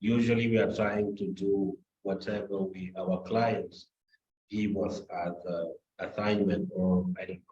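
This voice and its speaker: Man, lowish voice, slow, hesitant, echoey dull call audio. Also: level tone, dark tone. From Cameroon. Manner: hesitant, self-correcting. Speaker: corporate lawyer. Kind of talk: self-introduction on a video call. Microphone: video call.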